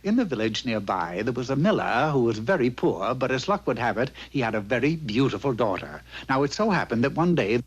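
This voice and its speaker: A man's voice: medium pitch, very animated, fairly quick, few pauses, slightly rough. A man narrating a scripted fairy-tale parody as a theatrical storyteller. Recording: dull, faintly noisy recording.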